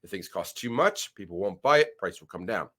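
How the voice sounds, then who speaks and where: A man's voice: on the low side, animated, fairly bright tone. Stuffy-nosed man, rambling commentary. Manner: rambling, halting restarts. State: nasal from a head cold. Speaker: channel host. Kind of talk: casual screen-share commentary.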